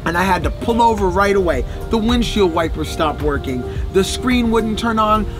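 A man co-hosting a travel vlog, recapping a road trip in an excitable, chatty way and talking straight to viewers. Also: high voice, moderate pace, over music. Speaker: vlog co-host. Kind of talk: casual recap chat.

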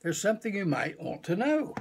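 An older man voicing a patient, gentle mother bear in a storybook, coaxing and teacherly, with a husky voice. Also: high voice, very animated, brisk, pitched above the performer's own voice, full character voice. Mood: cautioning.

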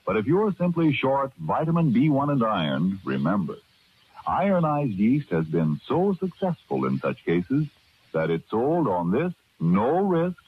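A man's voice: fairly low pitch, breathy edge. A man with an American accent announcing in the polished style of 1940s radio. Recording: dull, muffled 1940s radio recording.

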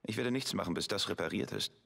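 A man playing a cocky, gruff young Victorian gang leader in a video game, laconic and sardonic. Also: sits low, fast, fairly bright tone, long reverb tail, slight background noise. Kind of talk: German video game dub acting.

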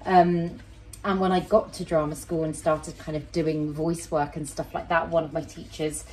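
A woman in her thirties with a deep voice, chatting casually and rambling to camera as a plant channel host while she repots a plant in her small home kitchen. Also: little pitch movement, moderate pace, darkish tone, poor audio. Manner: chatty, rambling, self-interrupting; handling soil and roots while talking to camera. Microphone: fixed camera at medium distance.

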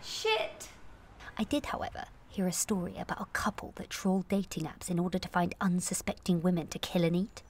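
A woman in her late twenties performing a confessional comic monologue in a candid, wry, self-questioning way, moving between confiding and animated. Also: fairly low pitch, fairly quick, husky. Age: late 20s. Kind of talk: confessional comic audio monologue, present-tense narration.

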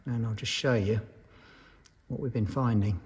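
Husky guy explaining technical stuff off the cuff, mid pitch, matter of fact, bit hesitant. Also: steady tone, normal speed, a little mellow. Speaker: aircraft maintenance engineer presenting. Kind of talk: informal technical explanation to camera.

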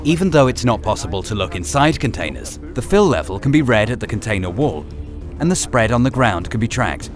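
A man reading a scripted training film narration in a measured, instructional manner over music. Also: medium pitch, very animated.

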